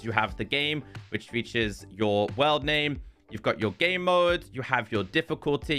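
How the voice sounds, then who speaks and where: Bright, forceful man explaining game updates. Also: mid-range voice. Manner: explanatory, walking through menus step by step. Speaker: gaming video host. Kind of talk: casual scripted-sounding game update commentary. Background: background music.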